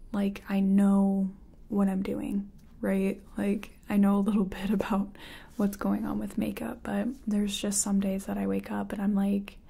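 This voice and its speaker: A woman doing a soft-spoken ASMR roleplay as a makeup artist, whispery and breathy, murmuring hesitantly as if half to herself. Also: mid-range voice.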